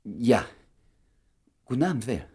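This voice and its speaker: An actor playing an inquisitive, easily flustered doctor in a German radio play, speaking with insistence and hesitation while feigning indifference. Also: male voice, medium pitch, big pitch swings, measured pace, a little mellow, breathy edge, full character voice. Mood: awkward, feigned indifference.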